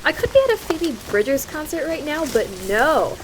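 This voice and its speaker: A young woman acting as a sardonic, eerie campfire storyteller in a student audio drama, with dry, deliberate delivery. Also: high voice, animated, noisy background. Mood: annoyed, sardonic. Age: young adult.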